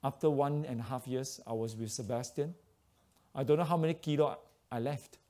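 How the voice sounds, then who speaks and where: A man's voice: medium pitch, normal speed. Malaysian man preaching in broken English. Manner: broken English, animated storytelling. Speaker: preacher giving testimony. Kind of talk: testimony sermon.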